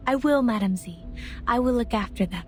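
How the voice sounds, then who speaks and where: A woman's voice: a little high, fairly quick, darkish tone, a little hiss or hum, natural voice. A woman voice actor playing a timid, polite young subordinate in a video game, with a hesitant, deferential manner. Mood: grateful, dutiful.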